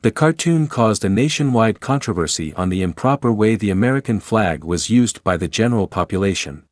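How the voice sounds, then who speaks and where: Male narrator for scripted history piece. Low register, dry studio-style sound with no room echo. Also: expressive, a little fast.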